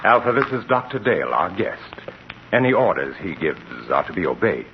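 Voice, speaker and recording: Earnest, formal scientist's assistant, persuasive, very animated intonation, dulled vintage radio recording. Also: male voice, low voice, a little fast, soft background music. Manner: formal, insistent. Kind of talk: 1940s radio acting. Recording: muffled, noisy 1940s radio recording.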